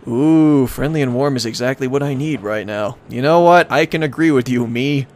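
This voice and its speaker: A man's voice: mid-range voice, normal speed, darkish tone. Playful man reading a game over music. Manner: playful, wry asides. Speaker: let's player narrator. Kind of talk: reading visual novel aloud with casual commentary.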